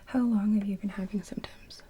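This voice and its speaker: Softly whispering ASMR woman. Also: medium pitch, fairly even intonation, moderate pace, slight background noise. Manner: gentle, orderly, asking intake questions in short phrases. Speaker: ASMR roleplayer playing a hospital receptionist. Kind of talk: soft whispered ASMR medical roleplay.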